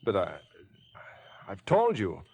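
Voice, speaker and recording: An American actor playing a decent, agitated young man cornered by murder, in tense, halting, earnest 1950s radio drama style. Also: fairly low pitch, wildly swooping pitch, vocal fry, dark tone, slightly rough, full character voice. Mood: evasive. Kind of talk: 1950s radio suspense acting. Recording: vintage 1950s radio recording with music.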